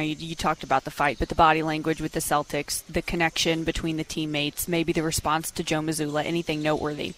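A woman's voice: low-pitched, fairly bright tone, low-quality recording. A woman co-hosting sports radio, asking an interview question in a conversational style.

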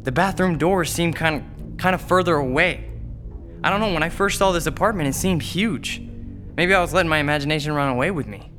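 An actor playing an anxious, halting young man trapped in an apartment in an audio horror drama. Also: fairly high pitch, fairly bright tone, soft background music, lightly acted. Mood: uneasy. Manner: halting, muttering, breaking off sentences.

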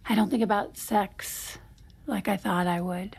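A woman's voice: mid-range voice, steady tone, unhurried, vocal fry, husky, heavily acted. An actress in an audio drama playing a guarded, evasive married woman who speaks hesitantly and deflects. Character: guarded, evasive married woman in therapy, curt when pushed. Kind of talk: naturalistic audio drama acting.

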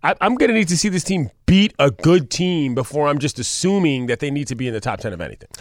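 A man's voice: fairly high pitch, sing-song, quick pace, no echo, clean studio sound. A man co-hosting sports radio, debating emphatically and repeating himself to press a point. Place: radio studio.

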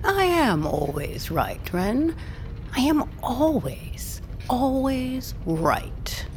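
A woman playing an imperious leader of a secret order in a fantasy audio drama, measured and at times hushed. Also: sits high, big pitch swings, a little hiss or hum, full character voice. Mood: haughty.

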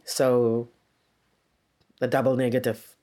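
Slow, reflective man. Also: medium pitch, flat delivery, warm tone, a little hiss or hum. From South Africa. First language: Afrikaans. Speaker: poet. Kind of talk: documentary interview.

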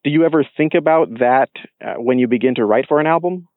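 A man's voice: fairly high pitch, fast, no room sound. Male interviewer with thin phone-like sound. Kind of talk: conversational interview questions.

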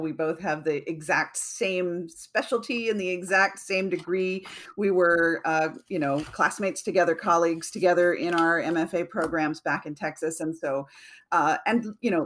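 Lower-voiced rambling woman guest. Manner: warm, rambling, many restarts. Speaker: guest, co-founder. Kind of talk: casual interview chat.

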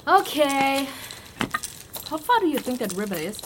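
A woman playing a small, plucky, cheeky human female adventurer in a fantasy audio drama, excitable, joking and sarcastic. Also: sits high, measured pace, noisy background.

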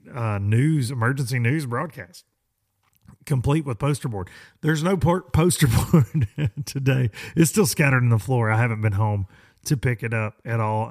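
A hoarse man with a drawl hosts a podcast. He talks at a middle pitch in a loose, folksy ramble, full of restarts. He often pokes fun at himself. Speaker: podcast host. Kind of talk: casual rambling monologue.

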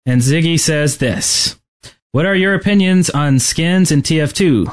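A man's voice: a little high, a little slow. A man co-hosting a gaming podcast in an informal, joking, conversational way. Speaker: podcast co-host. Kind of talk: casual gaming podcast chat.

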